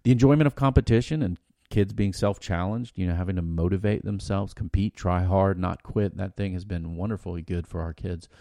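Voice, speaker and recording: A middle-aged American man with a projecting voice, co-hosting a podcast in a casual, colloquial, animated storytelling way. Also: deep voice, brisk, hardly any pauses, no room sound. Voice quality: loud, projecting voice. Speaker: podcast host. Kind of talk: casual conversational podcast discussion.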